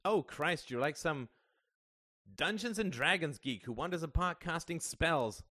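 Man doing a sarcastic irritable young man, pitched up. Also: lively intonation, measured pace, bright, forceful tone, exaggerated voice. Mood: exasperated, ranting. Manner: mocking, ranting.

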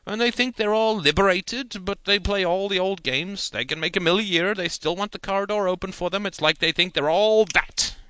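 A man's voice: high-pitched, moderate pace, few pauses, sharp tone, clean tone, dry recording, pitched above the performer's own voice. A man voicing a folksy older small-town uncle who lectures his nephew in a rambling, down-home way. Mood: lecturing.

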